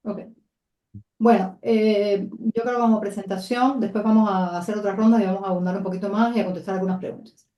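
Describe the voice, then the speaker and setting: A woman's voice: medium pitch, fairly even intonation, a little fast, highs cut off. A woman moderating a panel informally as its host, handing the floor to guests. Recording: roomy, echoing sound.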